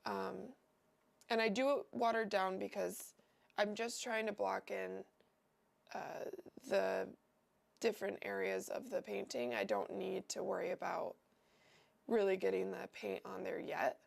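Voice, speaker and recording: A woman's voice: medium pitch, flat delivery, measured pace, vocal fry. A young woman with a cold and a nasal voice, hosting a livestream and chatting casually as she paints, wandering and thinking aloud with lots of fillers. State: sick and nasal.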